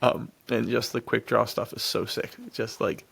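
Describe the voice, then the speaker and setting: A man's voice: on the low side, fairly even intonation, normal speed, creaky, a bit bright, husky. A man co-hosting a podcast in casual, enthusiastic chat, gushing in slang and often laughing. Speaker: podcast co-host. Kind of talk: casual enthusiastic chat about action films.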